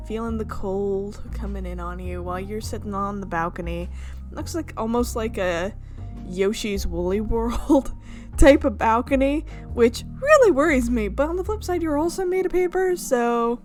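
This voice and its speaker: A woman doing playful let's-play commentary and reading visual novel text aloud, with bubbly little repeats and sound effects. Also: fairly high pitch. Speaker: gaming YouTuber.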